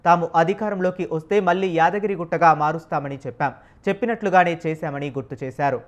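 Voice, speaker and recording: Fast-talking news presenter. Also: medium pitch. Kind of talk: scripted news narration.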